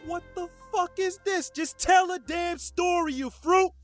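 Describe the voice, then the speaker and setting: An actor in an audio drama playing a crude, scornful, impatient gangster with a brash manner. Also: male voice, very high voice, a little slow, soft background music. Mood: irritated. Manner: brash, foul-mouthed. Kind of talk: scripted audio drama acting.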